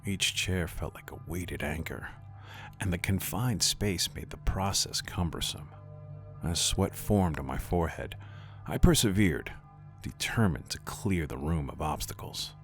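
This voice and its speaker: A man's voice: sits low, measured pace. A man reading a scripted first-person scary story in a dramatic, ominous manner. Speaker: horror story narrator. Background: faint music.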